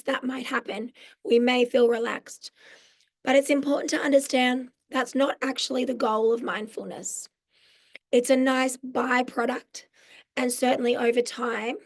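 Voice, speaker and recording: A hoarse woman in her forties with an Australian accent, her voice croaky from losing it, explaining mindfulness in an online workshop in a friendly way. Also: fairly high pitch. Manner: friendly, explanatory, with frequent um fillers. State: hoarse, lost voice. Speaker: mental health social worker teaching a mindfulness workshop. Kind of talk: live online workshop presentation. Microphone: video call.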